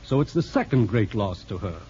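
An actor playing a kindly, tactful and earnest doctor in a 1950s radio drama. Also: male voice, lowish voice, lively intonation, fairly quick, warm tone, natural voice. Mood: earnest, reassuring. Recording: dull, hissy old radio recording.

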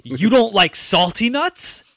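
Animated, joking male podcast co-host. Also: sits high, slow pace, dry recording. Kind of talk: casual banter.

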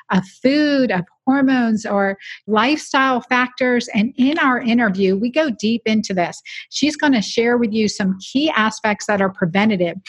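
A woman's voice: mid-range voice, dry recording. A woman gynecologist hosting an interview podcast, introducing her guest with effusive enthusiasm.

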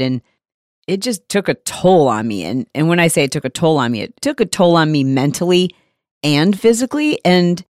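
A woman hosting a solo podcast, talking openly and conversationally, her voice slightly hoarse from a recent cold. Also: deep voice, no echo, clean studio sound. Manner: open, conversational, emphatic. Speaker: podcast host. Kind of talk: candid solo podcast monologue.

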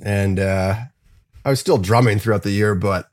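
Man, guest drummer, low voice, candid, rambling, dry close sound. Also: normal speed, dry recording. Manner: candid, rambling, swears casually. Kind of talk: casual interview.

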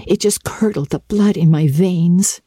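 A woman's voice: on the low side, unhurried, dark tone, husky, dry recording, clean studio sound. A woman voicing an earnest, talkative thirteen-year-old girl in a dramatic storybook reading, with breathless, solemnly emphatic delivery. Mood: earnest, gushing.

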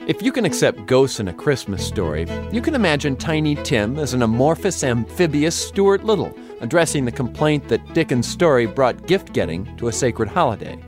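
A man's voice: medium pitch, sing-song, moderate pace, hardly any pauses, over music. A man hosting a radio program with scripted narration. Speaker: radio host.

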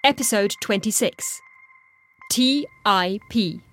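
A woman's voice: medium pitch, slow, a bit bright. A woman announcer reading scripted show credits. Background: music.

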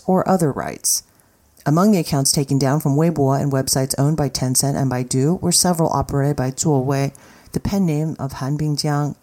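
News reader with a mid-pitched voice. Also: dark tone. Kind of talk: scripted news narration.

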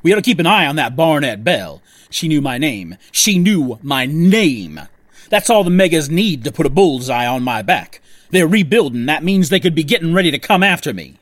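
A man's voice: on the high side, animated, brisk, no room sound, natural voice. A man playing a controlling, impatient faction leader in a sci-fi audio drama, talking in a clipped, commanding, weary way. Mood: worried, driven.

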